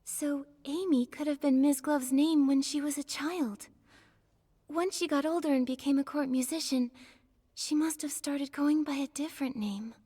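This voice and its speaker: An actress playing a gentle, earnest, inquisitive young heroine in a video game, with a soft manner. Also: female voice, sits high, moderate pace, close to an everyday voice. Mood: puzzled, curious. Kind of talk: scripted video game character dialogue. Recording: long hall-like reverb.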